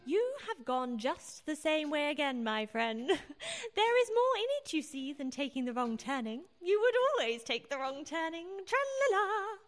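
A woman's voice: high-pitched, big pitch swings, unhurried. A woman acting in a radio play as a teasing, gleeful society lady with an arch, mocking manner. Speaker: actress in an amateur radio play.

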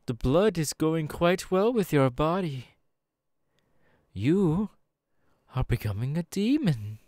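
A man voicing a suave, menacing demon in an ASMR roleplay, with teasing, patronising, drawn-out phrasing, close on the microphone. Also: on the high side, sing-song, darkish tone, no echo. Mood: pleased, coaxing. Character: suave, menacing male demon, playfully condescending and seductive. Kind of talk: ASMR audio roleplay, second-person monologue. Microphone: close dry microphone.

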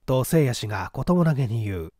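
A man's voice: mid-range voice, expressive, brisk, warm tone, dry recording, clean studio sound. A man narrating a mystery audiobook in a dramatic, scripted storytelling style.

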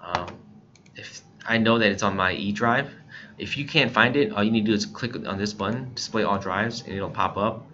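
Casting: American male tutorial narrator, lowish pitch, casual instructional delivery, slightly lo-fi sound. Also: normal speed, bright, forceful tone. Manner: informal, step-by-step explaining. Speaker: instructor, scanner shop staff. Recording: muffled lo-fi voice-over, faint background noise.

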